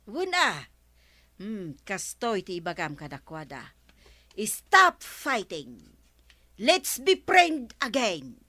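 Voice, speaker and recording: A woman's voice: medium pitch, wildly swooping pitch, takes it slowly, sharp tone, dry recording, exaggerated voice. A woman acting a feisty, talkative village councilwoman with an Ilocano accent, exclaiming and bickering in a broadcast comedy drama. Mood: chatty.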